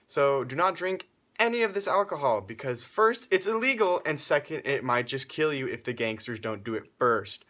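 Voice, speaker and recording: A man's voice: mid-range voice, expressive, normal speed, fairly bright tone. A man presenting a scripted 1920s-style news report, reading formally and addressing the viewers directly.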